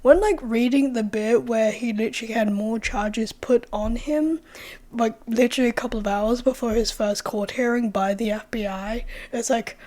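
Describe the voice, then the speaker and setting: A young woman vlogs in a loose, rambling way, sprinkling in fillers and the occasional curse. Her voice sits slightly high yet soft and mellow. It is worn and hoarse from a recent cold. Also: normal speed. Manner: chatty, many "like" fillers, swears freely. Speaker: true-crime vlogger. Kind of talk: casual opinion vlog.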